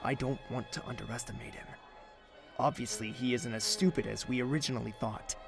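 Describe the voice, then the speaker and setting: A man acting as a brooding, calculating young prince in a fantasy audio drama, speaking in a guarded, measured way. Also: medium pitch, fairly even intonation, vocal fry, lightly acted. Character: brooding, calculating young prince under his father's thumb. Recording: music behind the voice.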